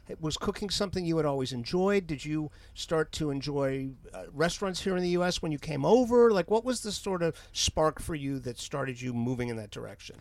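A man's voice: on the high side, dry recording. Man asking long winding interview questions. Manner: long winding questions, many restarts, quick affirmations. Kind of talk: onstage interview.

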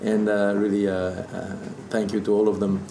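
A man's voice: lowish voice, little pitch movement, moderate pace, gravelly, mellow. A man in his fifties from France, speaking English with a French accent in casual interview storytelling. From southern Burgundy, France. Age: 50s. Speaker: interview guest, distiller. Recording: echoey room with background noise.

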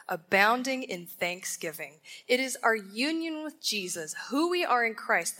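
Slow, bright-voiced woman preaching. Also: mid-range voice. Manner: deliberate, emphatic, asks rhetorical questions. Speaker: Bible teacher at a women's conference. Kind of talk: sermon. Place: conference ballroom.

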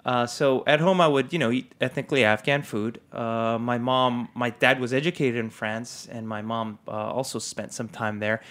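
A middle-aged man raised in Japan, speaking as a guest in an interview, relaxed and articulate. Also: medium pitch, normal speed, few pauses. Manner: articulate, relaxed, laughs easily. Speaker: guest, food blogger. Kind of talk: conversational interview.

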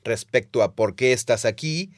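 Male co-host, mid-pitched, animated explainer, dry close mic. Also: moderate pace, no echo. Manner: animated explainer, laughs easily. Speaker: podcast co-host, Bible teacher. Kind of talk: casual teaching conversation.

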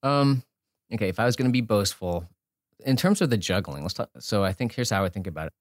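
A man's voice: fairly low pitch, lively intonation, fast, no room sound, clean studio sound. A man being interviewed as a guest, an entrepreneur and author, talking informally and thinking aloud as he breaks off and rephrases. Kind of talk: casual conversational interview.

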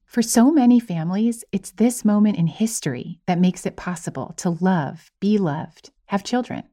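A woman's voice: medium pitch, moderate pace, mellow. A woman interviewing someone in a reflective conversation, phrasing her questions and responses with thought.

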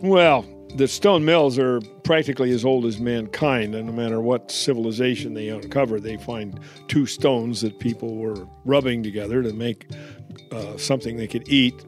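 Man explaining over soft guitar. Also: medium pitch, normal speed. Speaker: miller in an ad. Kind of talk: scripted ad dialogue. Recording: faint guitar music behind.

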